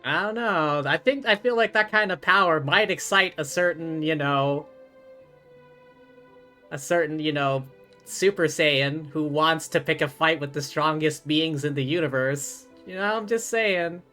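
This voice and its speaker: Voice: medium pitch, measured pace, bright, forceful tone. Casual game streamer musing over faint music. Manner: informal, musing. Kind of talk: casual reaction commentary on a game story.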